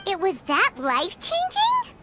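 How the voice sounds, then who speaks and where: A tiny floating fairy sidekick from a video game. The voice is squeaky, extremely high and wildly animated, childlike and blunt, with loud music behind it. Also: female voice, measured pace, exaggerated voice. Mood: astonished. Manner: refers to self in third person, exclamatory. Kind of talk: scripted game dialogue.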